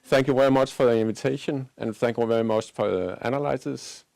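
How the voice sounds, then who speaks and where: A man from Denmark with a Danish accent, giving unscripted panel remarks in English as a non-native speaker, halting and searching for words. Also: mid-range voice, fairly even intonation, vocal fry, darkish tone, dry recording. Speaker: panelist, agricultural policy advocate.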